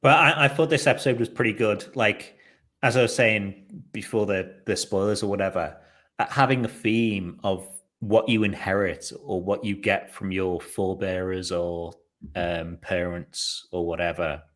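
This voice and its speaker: A young man co-hosting a casual podcast chat, rambling along with fillers and quick jokes. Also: deep voice, fairly even intonation, fairly bright tone. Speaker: podcast co-host. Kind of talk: casual chat reviewing a TV episode.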